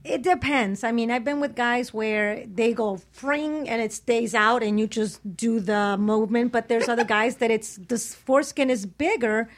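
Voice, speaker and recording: A woman speaks as a panelist in a casual, frank discussion. Her voice is on the high side, and she tells things in a vivid, animated manner. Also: normal speed, few pauses. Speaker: panel guest. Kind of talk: casual explicit chat.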